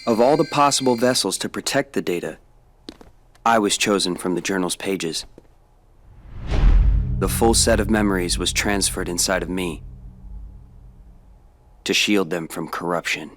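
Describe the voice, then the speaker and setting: A man playing a calm, cryptic teenage boy made of data in a video game, speaking in a measured, serious way. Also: low-pitched. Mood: calm, explaining. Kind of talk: video game cutscene acting.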